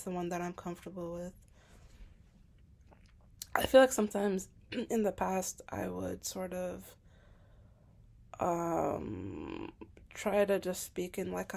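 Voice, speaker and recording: A woman's voice: lowish voice, vocal fry. A young woman in a casual, personal vlog monologue. She talks hesitantly and self-consciously, fills her sentences with filler words, and sometimes drops to a whisper. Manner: soft-spoken, at times dropping to a whisper. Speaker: vlogger.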